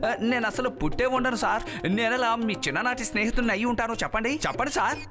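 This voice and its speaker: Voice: medium pitch, very fast, lightly acted. An actor voicing an exasperated younger man in a cartoon dub, protesting insistently. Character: exasperated younger male stranger, mistaken for friend. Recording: loud music underneath.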